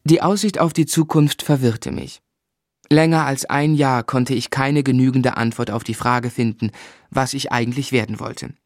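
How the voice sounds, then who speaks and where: An actor playing a reflective, earnest young gentleman in a literary radio drama, speaking in a measured, sincere way. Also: mid-range voice, steady tone, no room sound, studio quality, lightly acted. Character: reflective, earnest young gentleman telling his life story. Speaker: narrator and lead actor.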